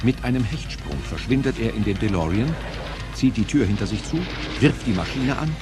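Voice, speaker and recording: A man's voice: on the low side, lively intonation, normal speed, over music. A man narrating an audio drama in a dramatic, scripted style.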